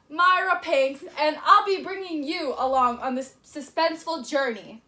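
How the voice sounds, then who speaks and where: High-voiced woman as showy game show host, echoey room. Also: fairly bright tone. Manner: showy announcer delivery. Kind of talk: mock game show hosting for a school project. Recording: roomy echo.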